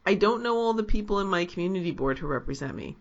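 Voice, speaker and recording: A woman's voice: fairly low pitch, expressive, fast talker, highs cut off. A woman co-hosting a casual podcast chat, speaking earnestly with a sore throat. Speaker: podcast co-host.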